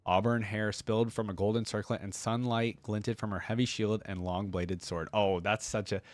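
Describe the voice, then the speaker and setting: A man's voice: lowish voice, fairly even intonation, normal speed, no echo. A man hosting a reaction video, reading a story aloud and commenting in a casual, slangy way.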